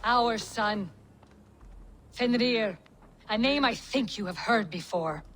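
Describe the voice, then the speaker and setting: A woman playing a cunning, vengeful witch in a video game, speaking in a deliberate, taunting and threatening way. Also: a little high, lively intonation, fairly bright tone, a little hiss or hum. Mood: cold threat. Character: menacing, cunning female witch, vengeful mother of a monster. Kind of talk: video game cutscene dramatic acting.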